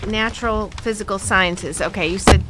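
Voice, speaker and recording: A woman's voice: medium pitch, normal speed, heavy background noise. A woman on a school board asking probing questions about a budget, hesitant, with many fillers. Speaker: board member. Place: public meeting room.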